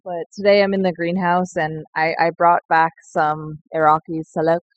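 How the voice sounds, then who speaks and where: A young woman sharing a slow, heartfelt personal reflection in a recorded message. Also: fairly low pitch, flat delivery, lo-fi sound. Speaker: seed collective member.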